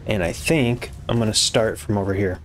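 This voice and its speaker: A hoarse young man with a raspy voice, casually commenting on his game as he builds, muttering to himself and swearing when things go wrong. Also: on the low side, moderate pace, soft background music. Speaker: gaming YouTuber. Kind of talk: casual let's-play commentary.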